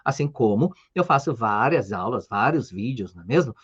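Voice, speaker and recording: A man's voice: medium pitch, animated, normal speed, slightly rough, muffled recording. A middle-aged man with a Brazilian Portuguese accent, a language teacher explaining grammar to adult learners, tagging his points with rhetorical questions. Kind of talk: talking-head language lesson.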